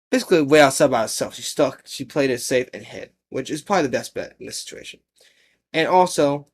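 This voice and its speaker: A man talks through horror videos in a casual, unscripted way. His voice sits at a medium pitch. He rambles and halts, often reworking a sentence partway through as a commentator thinking aloud. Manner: rambling, halting, rephrases mid-sentence.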